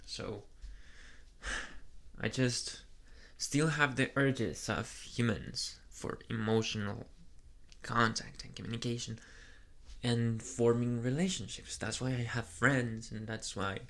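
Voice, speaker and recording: A man voicing a soft, flustered young male vampire in an ASMR boyfriend roleplay, speaking hesitantly and earnestly in an intimate murmur. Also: mid-range voice, steady tone, takes it slowly. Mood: tender, wistful.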